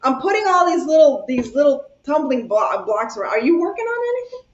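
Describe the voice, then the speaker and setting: A woman's voice: sits high, sing-song, a little fast. A woman hosting a casual craft chat, talkative and rambling, laughing easily as she works. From Cuba. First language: Spanish. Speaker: craft channel host. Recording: echoey room, dull lo-fi sound.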